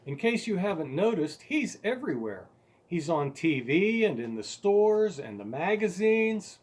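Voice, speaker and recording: A man's voice: sits high, very animated, unhurried, slightly rough, pitched above the performer's own voice, exaggerated voice. A man voicing a stubborn, indignant eight-year-old boy in a storybook, insistent, pleading and emphatic. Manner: insistent, pleading, growing louder and more emphatic.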